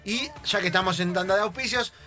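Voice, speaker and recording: Argentine guy hosting radio, high voice, theatrical and playful, music under him. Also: quick pace, sharp tone. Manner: theatrical, repeats phrases. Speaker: radio host. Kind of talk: playful radio show presenting. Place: radio studio.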